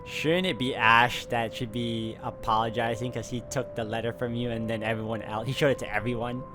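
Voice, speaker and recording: A man's voice: medium pitch, fairly even intonation, brisk, music in the background. A man reading a visual novel aloud as he plays it. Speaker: video game player reading aloud.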